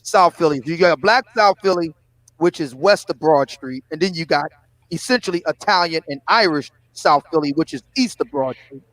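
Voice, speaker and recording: A man's voice: on the high side, animated, moderate pace, a bit bright, rough recording. A man in his fifties with a Philadelphia accent, chatting casually and asking leading questions as a panel host. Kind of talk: casual livestream chat.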